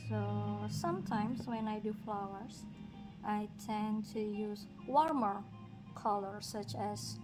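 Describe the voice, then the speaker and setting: A woman from Indonesia, speaking English as a second language, chatting slowly and musingly as she colors on a livestream. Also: medium pitch, poor audio. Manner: slow, musing, talks while working, light laughs. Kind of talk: relaxed coloring livestream chat. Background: background music.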